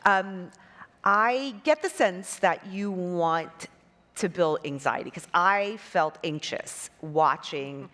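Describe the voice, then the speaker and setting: A woman on a judging panel asking a thoughtful, appreciative question about a pitch. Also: lively intonation, slow, a bit bright, long reverb tail. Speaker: judge on a panel.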